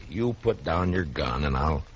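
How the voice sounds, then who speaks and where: A steady, weary frontier lawman acted by a man in a radio western. His American voice is deep yet animated, plain-spoken and coaxing. The sound is lo-fi and a little noisy. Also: unhurried, breathy edge, heavily acted. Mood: firm, coaxing. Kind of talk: old-time radio western acting. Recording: dull lo-fi recording with background noise.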